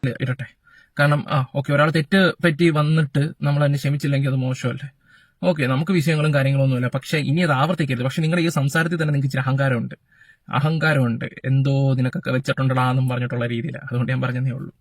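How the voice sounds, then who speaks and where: Quick man, dry close sound. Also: mid-range voice, fairly even intonation, dry recording. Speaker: YouTube reaction vlogger. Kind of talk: casual opinion commentary.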